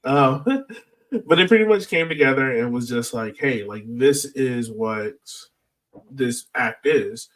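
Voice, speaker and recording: A man's voice: mid-range voice, expressive, slow pace, fairly bright tone, far from the mic, poor audio. A man hosting a podcast in a casual, informal discussion style. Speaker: podcast host.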